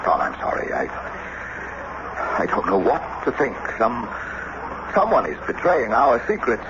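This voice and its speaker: A man's voice: medium pitch, wildly swooping pitch, a little slow. An actor playing an irascible, suspicious American statesman and devoted husband in a theatrical radio drama style. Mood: troubled, apologetic. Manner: emphatic, theatrical, declamatory. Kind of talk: 1970s radio drama acting. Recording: muffled, noisy old radio broadcast.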